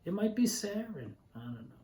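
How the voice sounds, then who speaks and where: A middle-aged or older man, a poet, speaking in a conversational, reflective and sometimes halting way as he reads and reminisces. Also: high-pitched, big pitch swings, warm tone, slight background noise. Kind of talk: poetry reading and informal reminiscence. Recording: echoey room.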